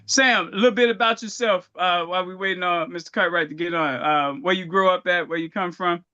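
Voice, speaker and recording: A man hosting a casual group call, easygoing and quick to laugh. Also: sits high, pushed and bright, no room sound, muffled recording. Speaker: host.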